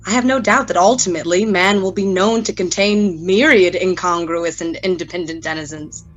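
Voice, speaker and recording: Tormented scientist, female performer, radio play monologue: measured, grave, intense, medium pitch, music underneath. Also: natural voice, low-quality recording. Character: brilliant, tormented scientist musing on human nature.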